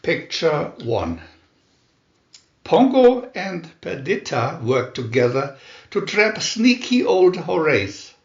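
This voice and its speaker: A man narrating a children's story in a deliberate, expressive read-aloud manner. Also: fairly high pitch, vocal fry. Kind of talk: scripted children's story reading. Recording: roomy, echoey, dull sound.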